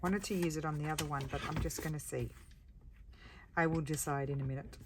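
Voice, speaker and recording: A woman with a croaky voice, chatting casually and thinking aloud as she makes paper crafts at her craft table. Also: low voice, steady tone, a little fast, heavy background noise. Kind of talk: casual crafting chat while working.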